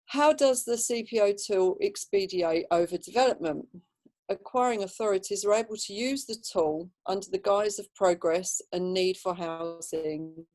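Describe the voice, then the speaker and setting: A woman's voice: mid-range voice, moderate pace, darkish tone, rough recording. A woman giving an informal, rambling slide presentation on a video call. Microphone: video call microphone.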